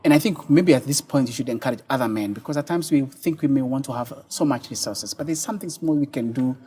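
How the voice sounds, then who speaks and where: A middle-aged man from Kenya hosting a television studio interview, asking quick, earnest questions into a lapel mic. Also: medium pitch, warm tone, husky. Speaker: talk show host.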